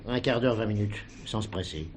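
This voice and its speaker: A man's voice: on the low side, brisk, close to an everyday voice. An actor playing a touchy, middle-aged gendarme sergeant in a police drama, speaking hesitantly and deferentially to his superior. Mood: matter-of-fact. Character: touchy, long-serving French gendarme sergeant. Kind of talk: theatrical TV-style police drama dialogue. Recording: clearly noisy old drama recording.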